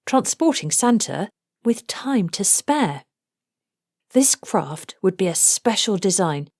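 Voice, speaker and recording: Unhurried woman reading a storybook. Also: medium pitch, a little mellow, dry recording, clean studio sound. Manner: deliberate storytelling in rhymed phrases. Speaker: children's book narrator. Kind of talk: scripted storybook read-aloud.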